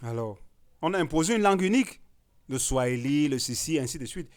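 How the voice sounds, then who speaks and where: A man's voice: on the high side, lively intonation, brisk. A man from Cameroon, an artist arguing hard in a panel discussion. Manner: emphatic, rising to forceful declarations.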